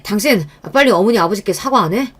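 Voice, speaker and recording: A woman's voice: mid-range voice, expressive, quick pace, fairly bright tone, breathy edge. A woman voicing a husband who scolds his wife and pleads to appease his parents. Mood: placating.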